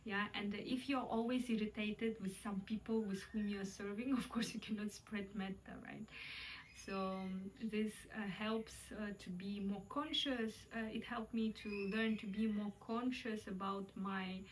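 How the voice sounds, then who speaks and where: A woman's voice: mid-range voice, fairly even intonation, measured pace, distant mic, slight background noise, poor audio. A young Kazakh woman with a Kazakh accent, talking casually and reflectively, hesitating often with fillers. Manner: hesitant, many fillers, light laughs. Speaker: vlogger.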